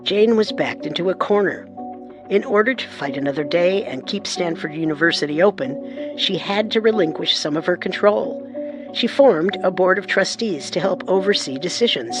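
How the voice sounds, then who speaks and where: Woman narrating with measured suspense. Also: fairly low pitch, expressive. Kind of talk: scripted dramatic narration. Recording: music bed beneath narration.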